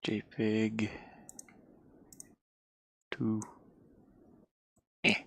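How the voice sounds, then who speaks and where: A game developer chatting casually and haltingly while working at a computer, murmuring half to themselves. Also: mid-range voice, monotone, gravelly, fairly bright tone, slightly rough. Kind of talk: casual screen-share chat while working. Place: inside a van.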